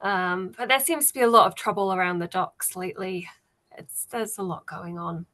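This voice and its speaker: A woman's voice: fairly low pitch, normal speed, pushed and bright. A woman playing a busy, gossipy tavern server in a tabletop game, speaking casually and hesitantly. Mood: wary, noncommittal.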